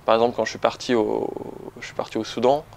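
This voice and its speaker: A man's voice: medium pitch, steady tone, fast, gravelly, a little hiss or hum. A man in his late twenties from Toulouse, chatting casually and with some self-deprecation as he is interviewed. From Toulouse, France. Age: late 20s. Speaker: interviewed photographer.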